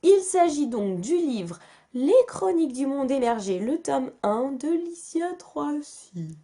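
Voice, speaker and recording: A young woman from France talks casually to her camera as a book vlogger. Her voice sits fairly high, and her chatty, self-mocking delivery is full of fillers and restarts. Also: very animated, slow pace, mellow.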